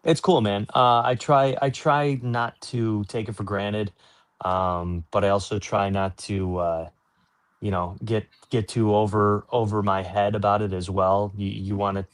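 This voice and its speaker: A man's voice: on the low side, fairly even intonation, a little slow, clear, resonant tone. A man working as a sports announcer, answering interview questions in an earnest, informal, explanatory way.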